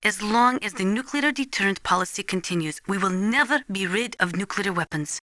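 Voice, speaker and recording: A woman playing an earnest nuclear weapons analyst in a video game, explaining things in a measured, precise, didactic way. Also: medium pitch, expressive, sharp tone, dry recording, clean studio sound. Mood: measured, informative. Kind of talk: scripted expository video game voice acting.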